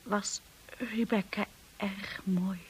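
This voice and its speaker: An actress in a Dutch radio drama playing an insecure, earnest young wife who speaks in a hesitant, confiding way, often hushed. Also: female voice, mid-range voice, fairly even intonation, takes it slowly, slightly rough.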